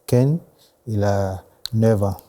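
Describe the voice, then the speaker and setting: A Ghanaian man in his forties, a pastor whose first language is English, talks in a studio interview. His voice sits low and sounds very dark. He speaks in a measured way and agrees often. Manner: measured, many short agreements. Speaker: pastor and guest.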